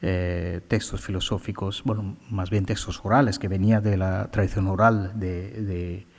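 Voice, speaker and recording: Male poet, low-pitched, unhurried and halting, recorded with long hall reverb. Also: fairly even intonation, creaky, darkish tone. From India. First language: Bengali. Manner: slow, halting, many filler sounds. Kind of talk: poetry reading with commentary.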